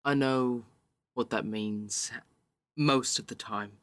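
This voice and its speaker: A man voicing a cautious, steady female ship captain in a tabletop game, measured and earnest in manner. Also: medium pitch, no room sound. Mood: cautious resolve.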